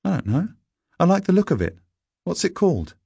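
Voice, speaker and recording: Man voicing a brash, scornful English schoolboy, pitched up. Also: big pitch swings, fairly quick, creaky, mellow, slightly rough, no echo, full character voice. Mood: curious, demanding. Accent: British. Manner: brash, scoffing, impatient. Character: rude, scornful, headstrong English schoolboy Scout.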